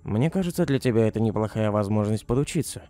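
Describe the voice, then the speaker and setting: A man's voice: fairly low pitch, lively intonation, fast talker, mellow, close to an everyday voice. A man voicing a polite, mild elderly animal character in a comic dub, courteous and formal. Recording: faint music behind the voice.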